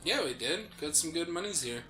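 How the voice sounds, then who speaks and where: Bright, higher-voiced American man chatting casually. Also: brisk, soft background music. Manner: animated storyteller who acts out quoted reactions. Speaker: gaming podcast co-host. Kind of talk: casual banter while playing a video game.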